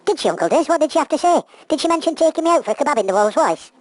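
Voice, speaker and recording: A woman's voice: high-pitched, animated, very fast, no echo, voice pushed up. A woman voicing an eager, affectionate young male bulldog superhero in a children's pantomime story. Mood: eager, hungry.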